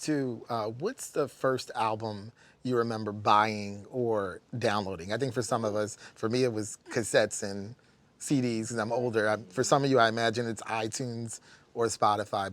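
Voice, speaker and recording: A man's voice: medium pitch, moderate pace, a bit bright. A middle-aged man hosting a roundtable interview who asks friendly, open questions. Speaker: interview host.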